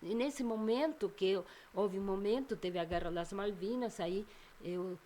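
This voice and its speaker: A woman's voice: mid-range voice, unhurried, warm tone. Older Argentine woman, thoughtful speaker. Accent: Argentine Spanish. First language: Spanish. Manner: thoughtful, searching for words, frequent restarts. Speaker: guest, psychologist. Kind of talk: casual interview chat.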